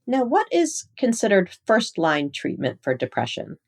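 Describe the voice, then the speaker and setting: A woman's voice: mid-range voice, huge pitch swings, moderate pace. A woman interviewing a medical expert, putting structured questions in a polite, orderly way. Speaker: interviewer, medical journal editor.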